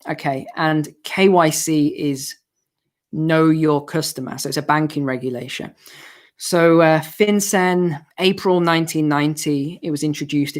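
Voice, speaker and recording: A man hosting a live finance stream and answering viewer questions, hoarse from losing his voice, explaining in a chatty way with asides. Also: a little high. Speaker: finance channel host.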